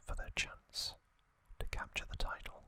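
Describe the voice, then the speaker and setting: Slow, bright ASMR whisperer. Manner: soft unvoiced whisper throughout; gentle, measured storytelling. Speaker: ASMR channel host.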